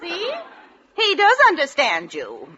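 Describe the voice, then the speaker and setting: An actress playing a patient middle-aged wife, soothing and gently chiding, in a 1940s radio comedy. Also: female voice, high voice, huge pitch swings, slow, slight background noise, heavily acted. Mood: gentle chiding. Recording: muffled vintage 1940s radio recording.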